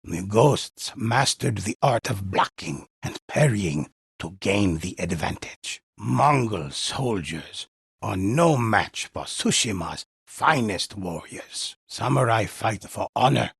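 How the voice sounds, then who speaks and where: An actor playing a mysterious, solemn older male storyteller in a video game, telling legends in a measured, portentous way with a husky, gravelly voice. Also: on the low side, animated, dry recording, studio quality, full character voice. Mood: reverent, hushed intensity. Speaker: storyteller narrator. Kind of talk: dramatic scripted storytelling narration for a video game.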